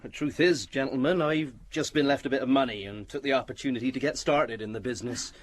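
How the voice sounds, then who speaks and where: An actor playing a modest, eager British amateur magician in a radio drama, chatty, hesitant and apologetic. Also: male voice, medium pitch, fast, close to an everyday voice. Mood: bashful.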